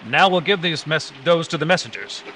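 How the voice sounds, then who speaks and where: A man plays a brisk, authoritative adventure hero in old-time radio style. His voice is bright and forceful, and he explains things earnestly. It is a live stage recording with faint background noise. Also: fairly high pitch. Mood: decisive, puzzled.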